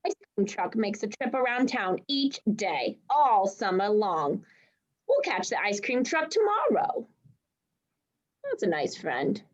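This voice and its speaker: Unhurried, lilting woman telling a bedtime story. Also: medium pitch, muffled recording. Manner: expressive, reacts to the story. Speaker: storyteller reading aloud. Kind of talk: bedtime story reading with friendly asides.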